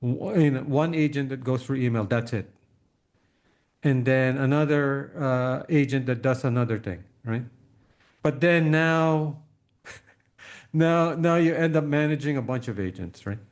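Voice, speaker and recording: A man's voice: medium pitch, measured pace. A man hosting a casual podcast interview, thinking aloud tentatively as he puts questions to his guest.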